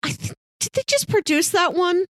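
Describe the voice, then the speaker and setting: A woman's voice: extremely high pitch, lively intonation, moderate pace, fairly bright tone, no echo, studio quality. A woman co-hosting a casual review podcast in a wry, playfully wordy way. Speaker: podcast co-host.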